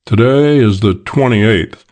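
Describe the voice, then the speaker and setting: A man's voice: on the low side, slow, darkish tone. A man voicing a practical private detective in an audiobook, clipped and matter-of-fact. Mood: brisk, matter-of-fact.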